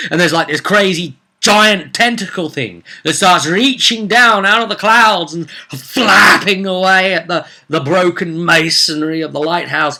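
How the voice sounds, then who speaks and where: Bright-voiced man recounting a roleplay game. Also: a little high. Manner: rambling, conversational, repeats phrases for emphasis. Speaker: game master. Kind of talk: casual storytelling recap of a tabletop roleplay game.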